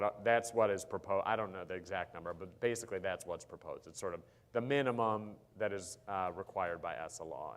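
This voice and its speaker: Low-voiced man explaining policy on a panel. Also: fairly bright tone, clean tone. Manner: measured and explanatory, with frequent ums and uhs. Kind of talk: panel discussion answer.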